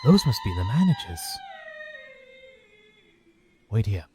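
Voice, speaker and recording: Man playing a courteous, expressive pony father. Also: mid-range voice, fast, background noise. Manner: courteous, formal, earnest. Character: polite hopeful pony father, a struggling violinist. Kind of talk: audio drama acting.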